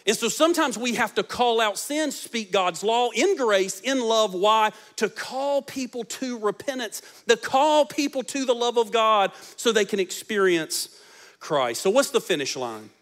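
A man in his forties preaching a sermon as pastor on a large church stage into a handheld mic, swinging between loud emphatic lines and soft, low ones. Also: animated, unhurried.

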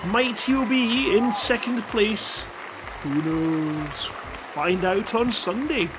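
A man's voice: sits high, animated, takes it slowly, background noise. A playful man hosting a live stream, reading short stories aloud and bantering casually. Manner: playful, jokey. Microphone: headset microphone.

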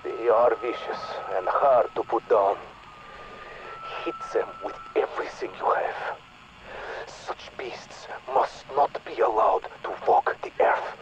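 Russian radio ally, earnest, Russian accent, very slow, muffled lo-fi sound. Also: male voice, fairly low pitch, wildly swooping pitch, heavy background noise, exaggerated voice. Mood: wry warning. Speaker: in-game radio character. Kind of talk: recorded game dialogue.

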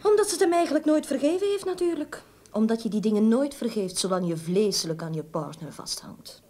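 An actress playing an opinionated, sardonic confidante of a detective in a Dutch radio drama, dry and emphatic. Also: female voice, fairly high pitch, lively intonation, brisk, dark tone. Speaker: actor.

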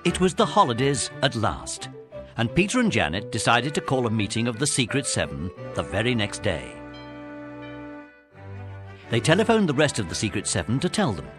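A man's voice: mid-range voice, wildly swooping pitch, normal speed, music in the background. A British man narrating a scripted children's story as the narrator of an audiobook.

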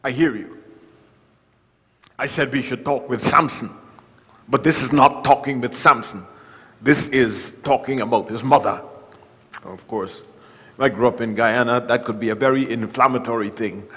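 An older man preaching a sermon at a church lectern, with wry humor, reading scripture loudly and dropping to quiet asides. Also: medium pitch, steady tone, moderate pace, pauses often, breathy edge. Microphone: lectern mic, far camera. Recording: long church hall reverb.

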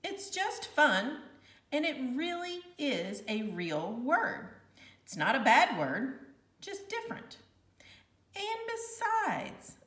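Plucky storybook schoolboy, played by a woman: earnest, hesitant but determined, very varied pitch, roomy sound. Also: fairly bright tone, full character voice. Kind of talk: children's storybook read-aloud. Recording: echoey room, distant mic.

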